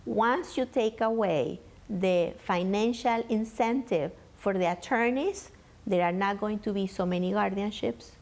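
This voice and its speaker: A woman's voice: medium pitch, a little slow, a little mellow. A woman interviewed for a news story, speaking as an advocate.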